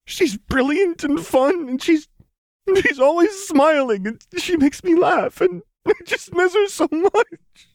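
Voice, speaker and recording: Male narrator as young woman, raised pitch, hoarse, halting, heartfelt, storybook heroine. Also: expressive, no echo, close to an everyday voice. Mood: sobbing. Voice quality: hoarse, breathy. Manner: halting, emotional. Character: distraught lovestruck young woman, sorrowful and smitten.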